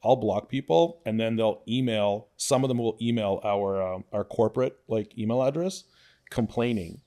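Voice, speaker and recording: A man's voice: lowish voice, normal speed, mellow. A man born in Ukraine, a guest on a podcast, talking bluntly and emphatically in casual chat. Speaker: guest, influencer.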